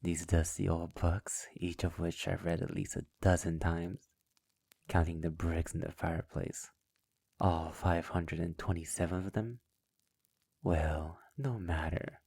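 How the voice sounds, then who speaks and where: Man playing a very low, gravelly vampire master. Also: steady tone, darkish tone, no echo, exaggerated voice. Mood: teasing, then silky, commanding. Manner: slow, teasing, often dropping into breathy whispers; silky, formal, condescending endearments. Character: sadistic possessive male vampire master, suave and menacing. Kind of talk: ASMR roleplay, intimate scripted monologue. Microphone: close mic in a dry, quiet space.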